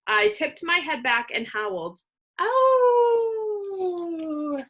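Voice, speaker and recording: A woman narrating a children's story aloud in an expressive read-aloud style. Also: medium pitch, normal speed, lo-fi sound.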